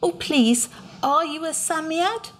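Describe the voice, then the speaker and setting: An eager young girl from a storybook squeals with delight, voiced by a woman reading aloud. Her voice jumps high and bright. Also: slow pace, slight background noise. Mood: excited. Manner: squealing and excited.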